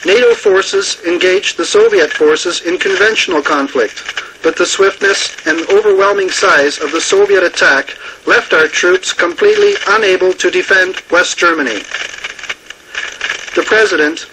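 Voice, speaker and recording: A man playing a grave government defense spokesman, reading a formal, measured official announcement on the radio. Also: high voice, natural voice. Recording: noisy radio broadcast.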